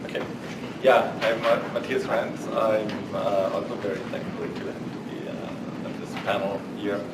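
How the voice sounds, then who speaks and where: German-accented male academic, halting, low pitch, distant mic. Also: flat delivery. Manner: halting, many fillers and restarts. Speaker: professor on a faculty panel. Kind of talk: panel introduction. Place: conference room. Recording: echoey room, far mic, noise.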